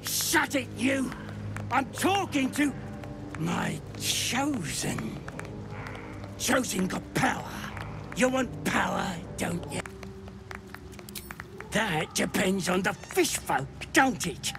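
A scheming little redcap goblin from a fantasy game. The delivery is coarse and wheedling, slow but swinging widely in pitch, with music clearly behind it. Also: male voice, very animated, full character voice. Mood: irritated. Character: scheming, crude male redcap fey goblin. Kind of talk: fantasy video game acting.

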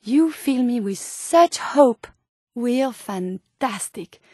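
Casting: earnest female robot scientist for a video game, French accent, high register, formal and precise, very dry close sound. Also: expressive, drawn-out words, a little mellow, breathy edge, no echo, full character voice. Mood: tender, devoted. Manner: formal, precise, slightly quaint phrasing. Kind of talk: video game companion dialogue.

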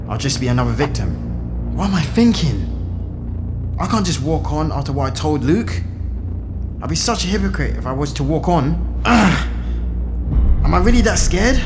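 A man's voice: fairly high pitch, lightly acted. An actor playing an earnest, streetwise teenage boy with a London accent and slangy delivery in a comic drama.

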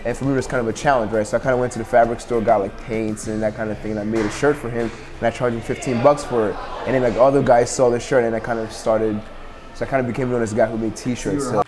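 A man's voice: medium pitch, fairly even intonation, over music. A young man in his twenties from Nigeria, speaking casually in an interview with lots of fillers and restarts. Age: 20s. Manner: quick, rambling, many fillers. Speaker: interviewee, entrepreneur. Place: indoors at a bar.

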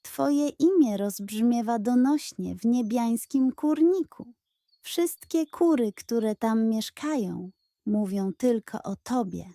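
Woman putting on a theatrical, pompous chicken ghost, raised pitch. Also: animated, slow, dark tone, dry recording, studio quality. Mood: grandiose flattery. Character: fake chicken ghost, grandiose flattering male spirit. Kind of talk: children's audio fairy tale.

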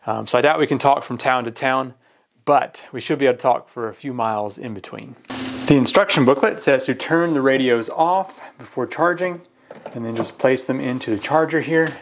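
A man's voice: medium pitch, moderate pace, a little hiss or hum. An American man in his thirties giving a casual, hands-on product review.

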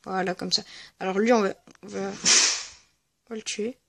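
A gaming video creator giving casual, hesitant and rambling commentary over gameplay, speaking in a medium-high voice. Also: steady tone, a little slow, creaky, bright, forceful tone, no room sound. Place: at home, voice-over on mobile gameplay recording.

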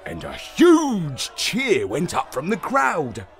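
A man voicing a good-natured space dog who narrates a children's bedtime story in a wry, expressive way. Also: fairly high pitch, slow, soft background music, full character voice. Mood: amazed. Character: good-natured male space-dog telling his own tale.

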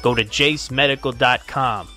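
A man reads a promotional spot in a crisp announcer style. His voice is bright and forceful at a middle pitch. Music plays behind him. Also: moderate pace. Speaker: advertisement announcer. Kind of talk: promotional ad read.

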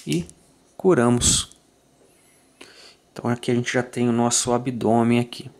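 A Brazilian man calmly walking through a fly tying tutorial, checking in with listeners after each step. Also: medium pitch, measured pace, a little hiss or hum. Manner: calm, methodical, ends phrases with "tá?".

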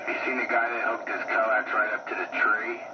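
Lowish male voice through noisy CB radio. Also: level tone, normal speed, muffled recording. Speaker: CB radio operator. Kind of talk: casual storytelling chat.

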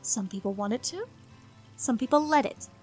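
A woman playing a stern, world-weary woman in an audio drama, speaking in a firm, measured way. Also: on the high side, animated, soft background music, close to an everyday voice. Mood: weary, guarded. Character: guarded, world-weary forest-dwelling woman, stern and principled.

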